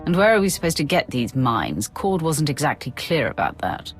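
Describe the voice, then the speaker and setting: A woman playing a self-assured, curt and commanding dark warrior in a video game. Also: low-pitched, very animated, moderate pace. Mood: irritated. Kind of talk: video game cutscene acting. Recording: music behind the voice.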